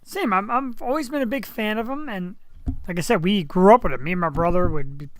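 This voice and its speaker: A man's voice: high voice, fairly quick, slight background noise. A man hosting an online talk show with playful, self-deprecating banter and a slightly nasal voice, speaking into a computer microphone. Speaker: host. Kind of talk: casual live-stream chat.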